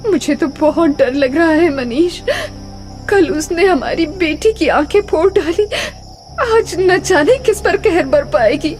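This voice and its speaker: A woman's voice: sits high, slow pace, darkish tone, faint music behind, voice pushed up. A woman voicing a terrified young mother in a horror cartoon story, anxious and tearful. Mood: tearful dread. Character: terrified young mother fearing for her family.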